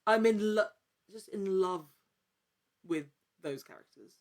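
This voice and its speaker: A woman's voice: mid-range voice, takes it slowly, darkish tone. A woman talking casually as an interview guest, earnest and thinking aloud in broken phrases. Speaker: podcast guest, actor and actual-play storyteller.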